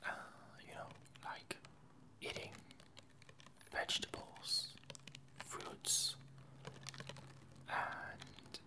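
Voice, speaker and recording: Young french-accented woman whispering asmr, really slow, lots of hesitant pauses. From France. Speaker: ASMR video creator.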